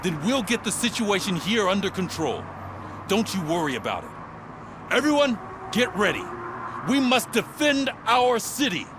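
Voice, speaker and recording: A defiant police captain in a game, giving orders with authority. The voice is fairly high and very animated in pitch, over faint outdoor noise. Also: male voice, moderate pace. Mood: rousing, defiant. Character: commanding male police captain, rousing and defiant. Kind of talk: video game cutscene acting.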